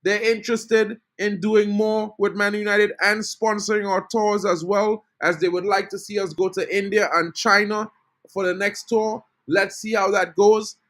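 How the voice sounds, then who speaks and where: A man's voice: high voice, level tone, normal speed, fairly bright tone. A man from Trinidad, hosting a casual live-stream football fan update, chatty and greeting his viewers. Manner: chatty, greets chat viewers, says bro a lot. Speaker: football fan channel host.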